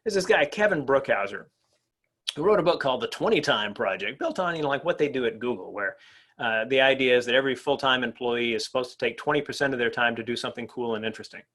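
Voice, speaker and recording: A middle-aged man giving an energetic professional development talk to educators, chatty and animated, often checking in with the audience. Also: medium pitch, quick pace. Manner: animated and conversational, asks the audience "right?". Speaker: presenter at an educator workshop.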